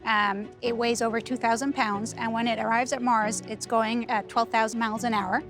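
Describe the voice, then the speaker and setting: A woman from Argentina speaks as an engineer in an informal interview. Her pitch is a little high. Clear music plays underneath. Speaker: NASA guidance and control engineer.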